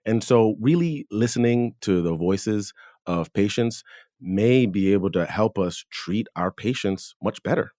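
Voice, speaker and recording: A man's voice: lowish voice, measured pace, dark tone, dry recording, studio quality. A man hosting an academic interview podcast, talking conversationally and reflectively as he builds on points in the discussion. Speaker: podcast host, dermatologist.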